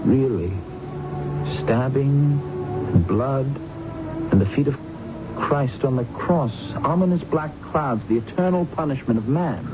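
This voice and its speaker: A man's voice: medium pitch, animated, unhurried, lightly acted. An actor playing a courteous, earnest and sensitive male visitor in a radio drama, speaking politely and expressively. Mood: horrified. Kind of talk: 1970s radio drama acting and narration. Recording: muffled old radio broadcast, music behind.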